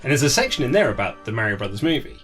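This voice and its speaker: A man's voice: mid-range voice, very animated, very fast, over music. A man being interviewed as an author, chatting casually and telling stories in a chatty way, often acting out conversations. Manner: chatty storyteller who acts out quoted conversations. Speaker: author being interviewed about his book. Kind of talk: casual chat, animated anecdotes.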